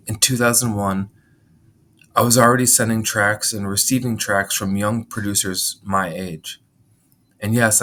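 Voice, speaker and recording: Man, 30s, from Minnesota; slightly low voice, measured memoir read, occasional throat clearing. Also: slight background noise. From Minnesota, USA. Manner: measured, steady reading with frequent throat clearing. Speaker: memoir narrator. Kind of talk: reading a personal memoir aloud.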